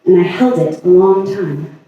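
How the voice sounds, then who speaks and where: A man performing a staged monologue as a lovelorn woman haunted by obsessive passion, halting and deliberate with long pauses. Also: high voice, steady tone, narrow, tinny audio. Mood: haunted, confessional. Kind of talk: staged dramatic monologue reading. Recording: echoey, distant stage recording.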